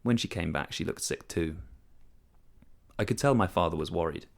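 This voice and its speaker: Low-voiced man reading a story, gravelly edge. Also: normal speed. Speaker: short story reader. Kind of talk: scripted literary narration, first-person story reading.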